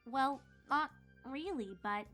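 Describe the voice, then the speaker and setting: A woman voicing an earnest, flustered young pony princess and student in a cartoon-style fan reading, hesitant and excitable. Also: high-pitched, slow pace, soft background music, full character voice. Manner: hesitant, self-correcting, excitable.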